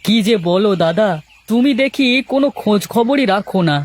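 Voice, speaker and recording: A man's voice: high-pitched, lively intonation, normal speed. A man playing a meddling, persuasive younger village man in a folk-tale cartoon. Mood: coaxing.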